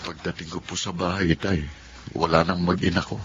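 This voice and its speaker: An actor playing an earnest, pleading younger Filipino husband in a radio drama. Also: male voice, low voice, little pitch movement, normal speed, very noisy, lightly acted. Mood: regretful. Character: remorseful, pleading younger husband caught cheating. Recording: dull lo-fi sound, music underneath.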